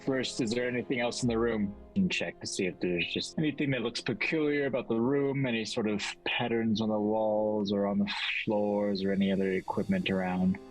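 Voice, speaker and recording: A man's voice: lowish voice, fairly even intonation, fairly quick, rough recording. Cautious man playing a fantasy tabletop game. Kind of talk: in-character tabletop game talk. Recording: music behind the voice. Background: music.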